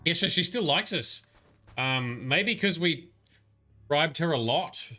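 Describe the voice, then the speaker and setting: A man's voice: on the high side, expressive, takes it slowly, pushed and bright, faint music behind. A man giving casual, rambling commentary while livestreaming a game, muttering asides and grumbling at the characters. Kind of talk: casual live gameplay commentary.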